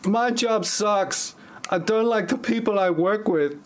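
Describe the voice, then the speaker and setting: Man playing a glum woman, high and slow. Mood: sullen. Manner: stilted, robotic delivery. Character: glum, pill-dependent woman in a failing relationship. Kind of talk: amateur parody audio drama acting.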